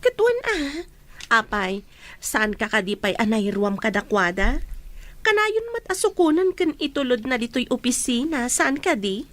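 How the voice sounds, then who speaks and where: A woman's voice: on the high side, big pitch swings, moderate pace, fairly bright tone. A woman playing a giggly office gossip. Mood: gossipy. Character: chatty office friend, playful. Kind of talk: radio drama acting.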